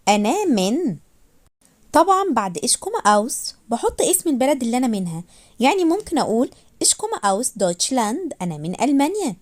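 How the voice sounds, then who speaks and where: A woman teaches beginner phrases in a raised, animated voice. She says each phrase slowly, repeats it, and then gives a quick translation. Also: high voice. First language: Arabic. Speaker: language teacher. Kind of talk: slow phrase drilling for learners.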